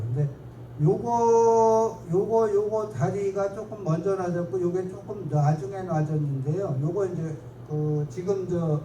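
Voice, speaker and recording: A man with a dark, mellow timbre gives a rambling, self-mocking public lecture, drifting off topic and back. He is picked up from a distance in an echoey hall, with faint noise underneath. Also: a little high, dull audio. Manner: chatty, digressive, self-mocking. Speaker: local history lecturer.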